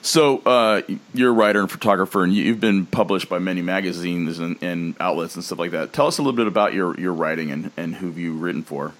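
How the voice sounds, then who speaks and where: A man's voice: low voice, fairly even intonation, fairly quick, hardly any pauses. An American man hosting a travel talk show, chatting loosely with many fillers and restarts. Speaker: podcast host. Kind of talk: casual travel chat.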